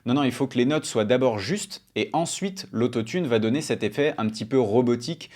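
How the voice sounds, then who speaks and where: A man hosts a tutorial in a casual, explanatory way. His voice sits at a middle pitch. He talks informally, as if straight to the viewer. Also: animated, fairly quick, darkish tone. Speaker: host of music production tutorials. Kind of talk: casual tutorial talk to camera. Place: small home studio room.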